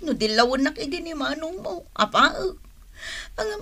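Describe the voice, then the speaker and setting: A woman's voice: high-pitched, animated, normal speed, bright, forceful tone. An actress playing a debt-burdened married woman in an Ilocano radio drama, with a plaintive, sighing delivery and an Ilocano accent. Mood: grateful. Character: distressed married woman burdened by debts, remorseful wife.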